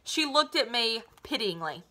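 A woman's voice: fairly high pitch, very animated, unhurried, bright, forceful tone. A woman in her thirties with a North American accent, reading a children's novel aloud expressively, like a teacher to students. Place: small living room at home, indoors.

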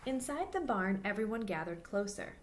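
A woman in her thirties reading a children's picture book aloud, with simple, deliberate phrasing for young listeners. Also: medium pitch, sing-song, slight background noise. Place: small plain-walled room indoors.

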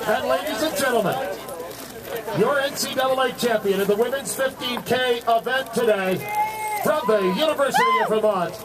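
A man's voice: extremely high pitch, huge pitch swings, normal speed. A man announcing an awards ceremony formally, projecting and stressing names with emphasis. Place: outdoors at a snowy ski venue. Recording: very noisy outdoor recording, loud crowd. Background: loud crowd and ambient noise.

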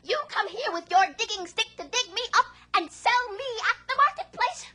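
A woman voicing a talking yam that scolds a farmer in a high, complaining voice. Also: lively intonation, normal speed, pushed and bright, full character voice. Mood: indignant. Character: indignant male yam, shrill and complaining.

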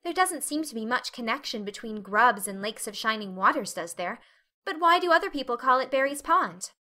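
A woman voicing a dreamy, chatty, excitable eleven-year-old girl in a dramatic audiobook, effusive and breathless in manner. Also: on the high side, a little fast. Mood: curious, eager. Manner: effusive, breathless, wide-eyed.

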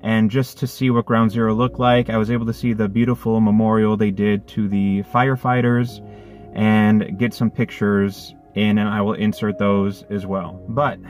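A man's voice: on the low side, little pitch movement, moderate pace, a little mellow, faint music behind. A man in his thirties, a delivery driver, telling a story earnestly from a parked car, with lots of restarts. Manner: earnest, thoughtful, with many restarts. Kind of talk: casual reflective storytelling. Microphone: phone camera on dashboard.

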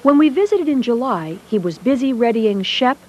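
A woman reading scripted documentary narration in a measured, even way. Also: a little high.

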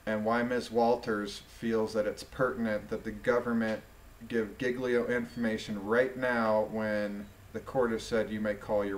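A man reading a document aloud and adding informal commentary in a deliberate, musing way. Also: on the low side, slight background noise.

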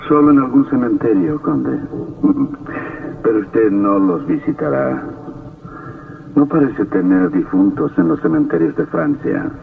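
An actor playing a bitter, lovelorn young gentleman in mourning, speaking formally in an old radio drama. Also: on the low side, very animated, measured pace, gravelly, long pauses, far from the mic, full character voice. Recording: tinny, noisy old radio recording.

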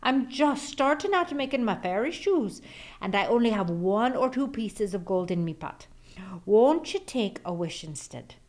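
A woman voicing a clever little male leprechaun shoemaker from a storybook, excitable and wheedling. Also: a little high, a little slow. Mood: pleading. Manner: pleading, crafty, excitable.

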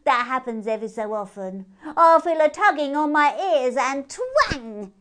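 Lady doing a big daft storybook hare, cheeky n full of himself, high swoopy voice, drags words out. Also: big pitch swings, fairly bright tone, heavily acted. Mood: airy, boastful. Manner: drawn-out, exclamatory, pompous. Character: mad, cheeky large moon hare, male, self-important.

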